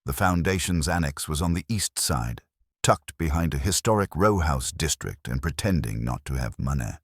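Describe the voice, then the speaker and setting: Male audiobook narrator for detective fiction: extremely low, husky, wry, lively intonation. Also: moderate pace, no echo. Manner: dry, wry. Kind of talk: scripted first-person detective story narration.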